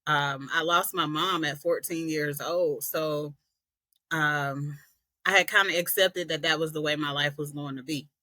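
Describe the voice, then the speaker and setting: A woman from Atlanta, a podcast guest earnestly telling her personal story with frequent pauses and "um"s. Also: sits low, steady tone, sharp tone, dry recording. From Atlanta, Georgia, US. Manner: earnest, reflective, many "um"s. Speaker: podcast guest, peer specialist.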